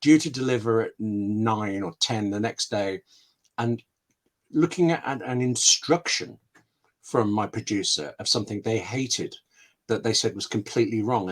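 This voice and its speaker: Guy, mid-pitched voice, chatty writer guest telling anecdotes, asks himself questions as he goes. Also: fairly bright tone. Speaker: scriptwriter guest answering questions. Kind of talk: conversational webinar answers with anecdotes. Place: home room with books, on a video call.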